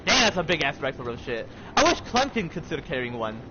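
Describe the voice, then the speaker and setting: Young male American streamer, slightly high voice, loose repetitive slang, lo-fi sound. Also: very animated, quick pace, bright, forceful tone, faint music behind. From Michigan, United States. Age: young adult. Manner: rambling, repetitive, heavy slang. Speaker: live streamer. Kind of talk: casual game livestream chat. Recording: dull lo-fi mic with faint noise.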